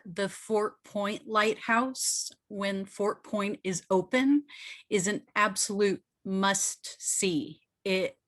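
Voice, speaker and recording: Bay area woman chiming in on a call, slow talker, dry sound, medium pitch. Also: no echo. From San Francisco Bay Area. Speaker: online audience member. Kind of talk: casual spoken comment.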